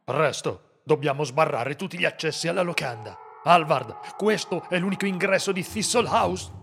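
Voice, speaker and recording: A man's voice: a little high, lively intonation, normal speed, gravelly, a bit bright, soft background music. A man playing a commanding warrior leader in a radio drama. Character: male warrior leader, stern and decisive. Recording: long hall reverb.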